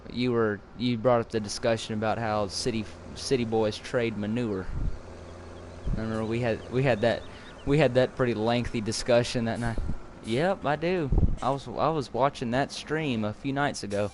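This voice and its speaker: A man's voice: mid-range voice, fairly even intonation, normal speed, a little hiss or hum. A fourteen-year-old boy chatting casually with viewers while playing a farming game, rambling and repeating words as he starts sentences. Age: teens. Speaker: gaming video host. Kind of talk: casual gameplay chat with viewers.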